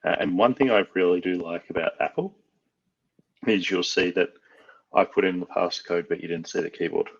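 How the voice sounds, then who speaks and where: A man's voice: deep voice, gravelly, breathy edge, dull audio. A man from Australia casually walking through a device setup tutorial, thinking aloud with frequent fillers. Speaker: IT trainer. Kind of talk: screen-share tech tutorial.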